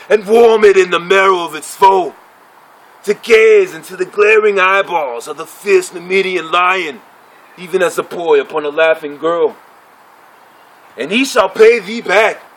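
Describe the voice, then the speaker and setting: Man acting a high-voiced, proud gladiator chief orating. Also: fairly bright tone, full character voice, poor audio. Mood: bitter, rousing. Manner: declamatory, formal oratory with deliberate pauses. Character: proud, bitter gladiator chief addressing his fellows. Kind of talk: theatrical dramatic monologue.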